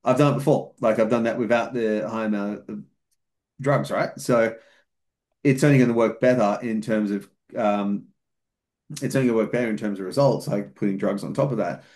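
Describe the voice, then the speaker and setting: A man with a fairly low voice explains things methodically as a podcast guest. He talks at length in a casual chat, often using fillers and restarting his sentences. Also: steady tone, normal speed, darkish tone. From outside New Zealand. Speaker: podcast guest, fitness coach.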